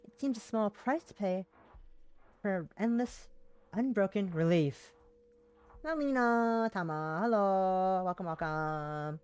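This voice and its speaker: A woman's voice: mid-range voice, expressive, takes it slowly, darkish tone, clear, resonant tone, soft background music. A woman live streaming, chatting casually and reading visual novel text aloud, now and then tripping over words and rereading them. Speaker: live streamer playing a game.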